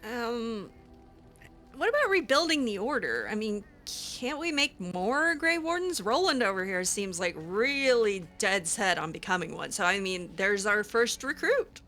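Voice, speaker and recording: A woman's voice: mid-range voice, very animated, unhurried, pushed and bright, soft background music. A woman playing through a fantasy game in character, chatting casually and wryly, hesitant, with lots of fillers. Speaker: roleplay streamer. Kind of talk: casual in-character roleplay chat.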